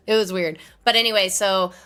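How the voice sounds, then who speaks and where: A woman musician with a hoarse voice from laryngitis, chatting casually and rambling as she answers her livestream viewers. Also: medium pitch, steady tone, moderate pace, fairly bright tone. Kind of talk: casual chat with stream viewers.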